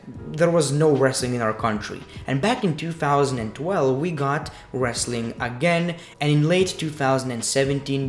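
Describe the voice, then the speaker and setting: A man from Lithuania with a Lithuanian accent, giving casual, opinionated wrestling commentary in a blunt, crudely joking way. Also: fairly high pitch. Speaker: wrestling news vlogger. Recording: echoey room with music underneath.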